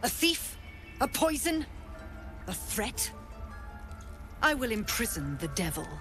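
A woman's voice: a little high, very animated, slow pace, a bit bright, soft background music, heavily acted. A woman voicing a cold, imperious druid leader in a video game, commanding and threatening. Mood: menacing.

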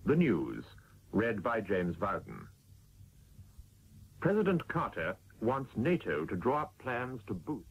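A man's voice: on the low side, huge pitch swings, slow, pauses often. A man reading news formally as a radio announcer over shortwave. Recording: muffled shortwave radio with noise.

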